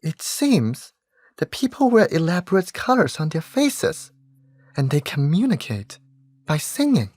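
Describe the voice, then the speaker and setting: An actor playing a solemn fairy storyteller in a stage play, measured and dramatic. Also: mid-range voice, very animated, darkish tone, no echo, clean studio sound. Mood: solemn, enchanted.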